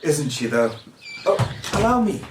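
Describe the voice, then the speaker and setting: A gallant young man in love, with lively intonation. The period drama scene sounds roomy and has faint noise. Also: a little high, measured pace. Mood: gallant, charming. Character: gallant, smitten young suitor.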